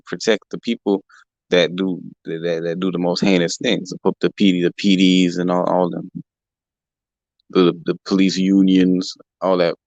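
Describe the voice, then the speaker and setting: Gravelly, heated man ranting on a livestream. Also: low voice, a little mellow, no room sound, lo-fi sound. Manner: heated, profane, repeats himself, slangy. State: voice a bit rough from allergies. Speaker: livestream host. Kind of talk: casual livestream rant. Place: home in California.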